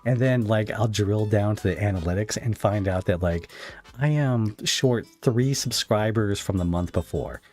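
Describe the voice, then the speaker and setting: A man's voice: fairly low pitch, moderate pace, a little mellow. A man hosting a casual interview, speaking informally with somewhat hesitant phrasing. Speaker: podcast host, interviewer.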